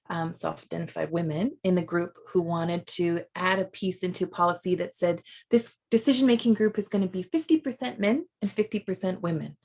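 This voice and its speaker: Woman, panel moderator, lowish voice, conversational, reflective, video call. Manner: conversational, reflective, with soft fillers. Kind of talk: virtual panel discussion.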